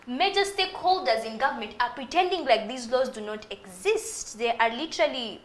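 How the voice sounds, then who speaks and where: A woman's voice: fairly high pitch, brisk. A young woman with a Ugandan accent, arguing animatedly as a guest on a TV studio panel, speaking into a lapel mic. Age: young adult. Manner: animated, gestures while arguing.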